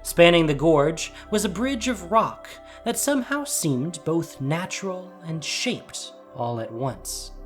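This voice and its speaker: A narrator reading a scripted dramatic fantasy story, with music behind. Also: mid-range voice.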